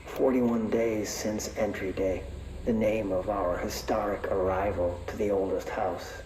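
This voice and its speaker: An actor playing a weary, wry researcher dictating an expedition log in a dry, deadpan, measured manner for a game. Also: male voice, fairly low pitch, a crowd in the background, lightly acted. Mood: grim, weary. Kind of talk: recorded expedition log narration. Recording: roomy echo, faint background noise.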